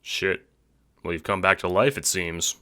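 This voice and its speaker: A man playing a laid-back, slangy teenage boy in an audio drama, talking in a terse, deadpan, casual way. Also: sits low, unhurried, no room sound, clean studio sound. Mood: cool, matter-of-fact.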